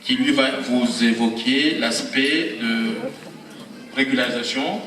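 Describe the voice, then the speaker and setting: A man with a Congolese French accent speaks as a lawyer at a public conference, explaining points deliberately and didactically. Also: mid-range voice, sharp tone, slight background noise. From Democratic Republic of the Congo. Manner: deliberate, didactic, rhetorical questions. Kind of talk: public talk, explanatory speech. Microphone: handheld or event microphone. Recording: very echoey hall, far from mic.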